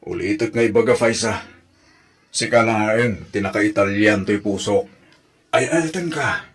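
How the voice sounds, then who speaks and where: Actor as a low-voiced, devoted Ilocano boyfriend. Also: male voice, unhurried, lightly acted. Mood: earnest, affectionate. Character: devoted young male sweetheart working abroad, reassuring. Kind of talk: Ilocano radio drama acting.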